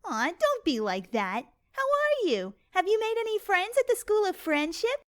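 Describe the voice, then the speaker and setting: A woman's voice: very high voice, big pitch swings, normal speed, fairly bright tone, full character voice. A woman playing an ever-cheerful, bubbly winged unicorn mother in a dramatic cartoon story reading, gushing and affectionate in a sing-song way. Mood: delighted, doting.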